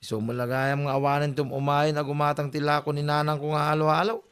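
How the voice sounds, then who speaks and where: A man's voice: fairly high pitch, level tone, fairly quick, smooth, lightly acted. A man playing a nagging, teasing young son in a comedy drama, exasperated. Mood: chiding. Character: nagging, teasing young Ilocano son scolding his mother. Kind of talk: Filipino comedy audio drama.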